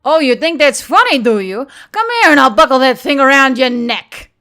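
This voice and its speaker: A woman's voice: high voice, expressive, moderate pace, pushed and bright, pitched above the performer's own voice, exaggerated voice. Woman acting sarcastic, hot-tempered scavenger woman. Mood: mock threatening. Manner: sour, exasperated, biting.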